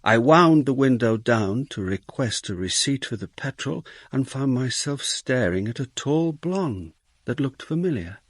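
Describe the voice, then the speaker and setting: An actor playing a weary, dogged police detective in a British radio drama, with a dry, wry manner. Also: male voice, mid-range voice, animated, unhurried, no echo. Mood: weary, reflective. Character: weary, dogged male Dutch police detective, narrating his case.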